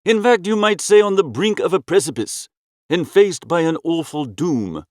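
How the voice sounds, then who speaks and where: Guy reading a comic audiobook, kinda high voice, really lively and theatrical, chatty gent style. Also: moderate pace, no room sound, studio quality. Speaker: audiobook narrator. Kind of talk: expressive first-person comic storytelling.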